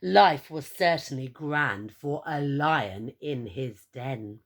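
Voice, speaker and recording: Expressive, slow-paced woman reading a storybook. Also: very deep voice. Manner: theatrical, encouraging questions to listeners. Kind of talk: expressive picture-book read-aloud with asides to young listeners.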